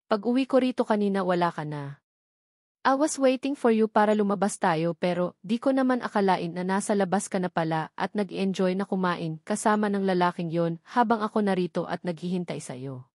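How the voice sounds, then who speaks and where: Female reader as jealous husband, romance storybook: Filipino accent, insistent, mid-pitched, code-switching English and Tagalog. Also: normal speed, a little mellow, dry recording, clean studio sound, natural voice. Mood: apologetic. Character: jealous young husband, stern then apologetic.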